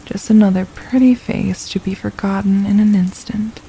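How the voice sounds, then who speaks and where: A woman playing a possessive, condescending royal villain in an ASMR roleplay, speaking in a soft, silky, deliberate murmur with menace and mockery. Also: mid-range voice, steady tone, slight background noise, lightly acted, rough recording. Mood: musing, condescending. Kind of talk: ASMR roleplay, intimate scripted monologue.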